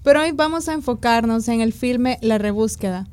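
Salvadoran woman radio co-host, a bit high-pitched, upbeat scripted read. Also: normal speed, a little mellow.

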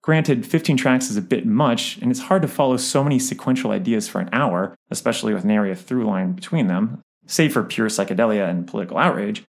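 A man's voice: lowish voice, brisk, gravelly. A man reading a scripted album review as narration.

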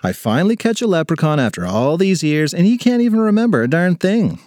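A man's voice: fairly high pitch, wildly swooping pitch, normal speed, darkish tone, dry recording, studio quality. A man voicing a headstrong, sarcastic fifth-grade girl with a dramatic manner in a children's story. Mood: exasperated. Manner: exasperated, dramatic delivery.

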